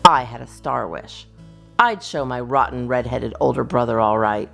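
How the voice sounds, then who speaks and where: Animated woman reading a children's storybook. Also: very deep voice, moderate pace, vocal fry, faint music behind. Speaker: storytime host and reader. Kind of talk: expressive children's storybook narration.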